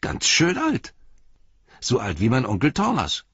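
A man reading a storybook voices a brash, eager young boy in a raised, husky voice. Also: medium pitch, no echo, lo-fi sound, voice pushed up, full character voice. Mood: boastful. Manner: brash, excited, fast.

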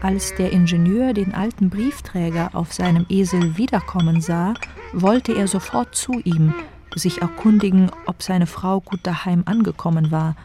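A woman's voice: on the low side, moderate pace, warm tone. A woman reading scripted literary narration as the narrator of a radio drama.

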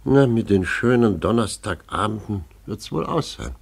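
An actor playing a resigned older gentleman with a gravelly, husky voice in a German radio play. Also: on the low side, animated, normal speed, exaggerated voice. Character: resigned, sighing older family acquaintance.

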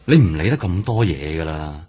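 An actor with a Hong Kong accent playing a stubborn, world-weary veteran detective in a radio drama, sardonic and resigned. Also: male voice, very deep voice, lively intonation, normal speed, mellow. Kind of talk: Cantonese radio drama acting.

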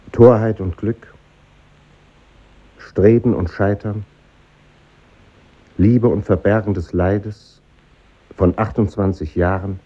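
An actor playing a middle-aged former pupil, narrating in a measured, melancholy way in a 1950s German radio play. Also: male voice, fairly low pitch, dark tone, husky. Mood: wistful, pensive. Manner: measured, pensive. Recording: dull, noisy 1950s radio recording.

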